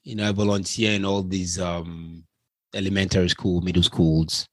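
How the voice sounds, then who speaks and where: A man's voice: sits low, little pitch movement, normal speed, no room sound. Reflective Nigerian-accented man, dry sound. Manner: reflective, affirming, many backchannels. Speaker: podcast co-host. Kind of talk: casual chat.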